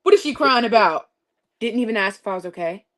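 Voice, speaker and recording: A young adult woman telling a story in casual conversation, expressive and full of vivid detail. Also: a little high, fast talker.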